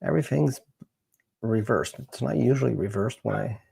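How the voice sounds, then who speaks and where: Gravelly, husky Canadian man chatting. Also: medium pitch, mellow. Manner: rambling, halting, thinking aloud. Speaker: livestream host, genealogist. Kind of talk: casual chat to livestream viewers.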